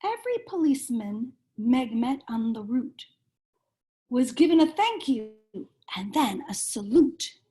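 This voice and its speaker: A woman reading a children's storybook aloud in a measured, expressive storytelling manner. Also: sits high. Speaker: cantor reading a bedtime story.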